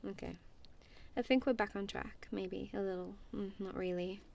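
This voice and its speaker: A woman's voice: medium pitch, fairly even intonation, normal speed, gravelly, darkish tone. A woman doing a friendly, goofy ASMR roleplay as casual one-sided chat, playful and giggly, with a self-deprecating, apologetic manner.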